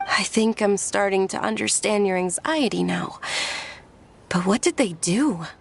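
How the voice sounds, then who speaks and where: An actress playing a gentle, caring young noblewoman in a video game, with a polite and sincere manner. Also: medium pitch, lively intonation, a little slow, exaggerated voice. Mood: worried. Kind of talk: scripted video game dialogue acting. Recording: music clearly behind the voice.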